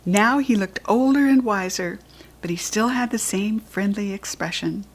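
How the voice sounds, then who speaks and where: An American woman reads a children's story aloud with clear, expressive delivery. Her pitch sits in an ordinary middle range. She tells the story steadily and deliberately. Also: rough recording. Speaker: children's book reader. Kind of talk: reading a children's book aloud.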